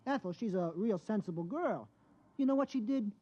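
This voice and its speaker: An actor playing a good-natured working-class bus driver, rambling and colloquial, in a 1950s radio drama. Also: male voice, sits high, lively intonation, moderate pace. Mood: enthusiastic, confiding. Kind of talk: 1950s science fiction radio acting. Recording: dull vintage radio recording.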